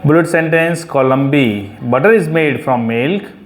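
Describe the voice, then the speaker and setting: A woman teaching English grammar, reading sentences slowly and clearly for a student to repeat. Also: low-pitched, a little hiss or hum. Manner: slow, clear dictation of sentences. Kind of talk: repeat-after-me grammar drill.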